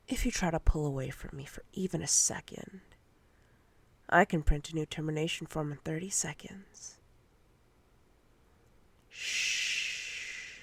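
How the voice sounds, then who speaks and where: Woman acting as a slow, breathy, dominant female boss. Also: low-pitched, exaggerated voice. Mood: possessive, threatening, then possessive, teasing. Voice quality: breathy, frequent whispering. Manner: slow, deliberate, commanding. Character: dominant, possessive female boss, teasing and commanding. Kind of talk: roleplay ASMR.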